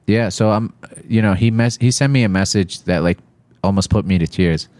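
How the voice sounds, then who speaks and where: A man from Iraq talking casually as a comedian guest on a podcast, halting and informal, with some swearing. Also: lowish voice, darkish tone, no room sound.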